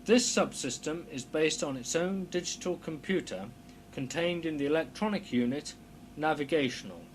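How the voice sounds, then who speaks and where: A man narrates an instructional film in a formal, precise way, with a slightly high-pitched voice. He explains things in measured, scripted phrases. A light noise and hum sit behind the voice throughout. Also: low-quality recording. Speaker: narrator of a technical training film. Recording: clear background noise with low hum.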